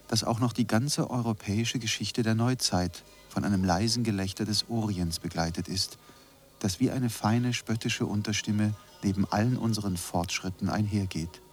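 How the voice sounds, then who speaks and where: Casting: actor as deliberate literary reader for radio drama, lowish male voice, faint music beneath. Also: close to an everyday voice. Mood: measured. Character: measured reader of a wry literary quotation. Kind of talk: literary quotation read in a radio play.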